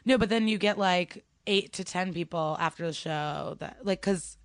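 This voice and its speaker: A woman's voice: on the low side, normal speed, clean tone, no room sound. A young woman from Ukraine, a stand-up comedian, chatting casually as a podcast guest and telling stories with many fillers.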